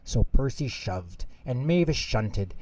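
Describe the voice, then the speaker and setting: A man reading a children's bedtime story aloud as an expressive storyteller. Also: mid-range voice, slow.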